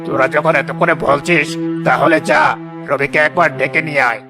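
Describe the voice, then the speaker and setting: Man playing a high-voiced stern village headman. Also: fairly even intonation, a little fast, vocal fry, over music. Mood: worried. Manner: authoritative, questioning. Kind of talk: Bengali cartoon voice acting.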